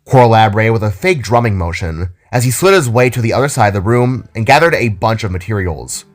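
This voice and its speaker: A man's voice: fairly low pitch, lively intonation, fast, soft background music. A man reading scripted story narration as the narrator of an audio drama.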